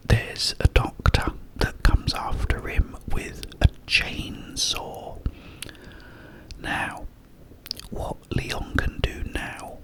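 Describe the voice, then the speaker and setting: A man whispering a casual ASMR ramble about games, breathy and up close to the microphone, in short halting phrases with pauses. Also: rough recording. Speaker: ASMR content creator.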